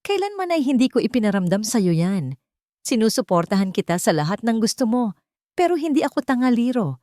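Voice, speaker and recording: A woman storyteller plays a wife in a family drama story. She is hurt yet firm and sharp-tongued, refusing to be fooled. The recording is very dry. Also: on the high side, very animated, a little fast, dry recording, voice pushed up.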